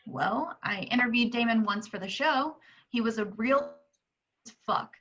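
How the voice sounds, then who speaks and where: A woman playing a brash, sharp-tongued TV reporter in an amateur table reading of a film script. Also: fairly high pitch, unhurried. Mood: annoyed, brash. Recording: dull, muffled home recording.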